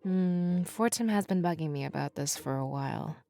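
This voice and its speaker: A woman's voice: on the low side, normal speed, warm tone, faint music behind, lightly acted. A woman playing a dutiful, weary female rebel in a visual novel, earnest and hesitant in her delivery. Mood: shy, friendly.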